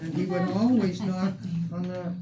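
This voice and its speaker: An older man, a museum curator, telling animated anecdotes to a small audience. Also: high-pitched, normal speed, heavy background noise. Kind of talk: storytelling talk to an audience.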